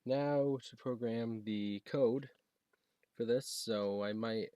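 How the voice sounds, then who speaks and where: A man talking casually and haltingly, thinking aloud as he demonstrates a gadget he is setting up. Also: mid-range voice, mellow, no room sound. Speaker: product reviewer demonstrating a gadget. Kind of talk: casual unscripted unboxing and setup demo.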